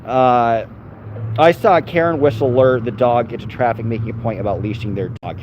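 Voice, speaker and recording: A man rambles casually while walking his dog down city streets, talking to his live viewers and his dog as he goes. His voice sits at an average pitch, picked up on a handheld phone, with faint traffic noise in the background. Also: normal speed, dull audio. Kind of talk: casual live-stream chat.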